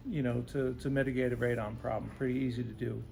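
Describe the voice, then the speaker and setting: A man's voice: mid-range voice, flat delivery, quick pace, vocal fry, a little mellow. A man answering interview questions as a home-services specialist, explaining things in simple terms and often checking in with "right?". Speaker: radon remediation specialist being interviewed.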